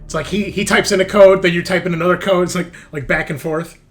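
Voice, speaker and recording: A man's voice: sits high, steady tone, quick pace, faint music behind. A man acting as game master, switching between scene narration and casual talk about the rules.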